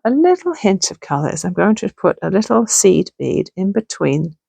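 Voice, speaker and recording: A middle-aged woman with a gravelly, hoarse voice, chatting casually and thinking aloud as she makes something at home. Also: mid-range voice, sing-song, normal speed, mellow, no echo. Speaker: crafting channel host. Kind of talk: casual crafting tutorial chat. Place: home sewing room.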